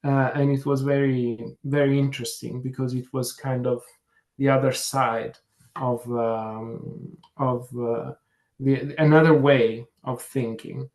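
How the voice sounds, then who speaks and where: Slow-paced Italian-accented man, reflective. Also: medium pitch, fairly even intonation, a little mellow. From Italy. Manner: hesitant, reflective, pausing often mid-sentence. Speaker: career fair interviewee, program manager. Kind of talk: conversational interview answer, career advice.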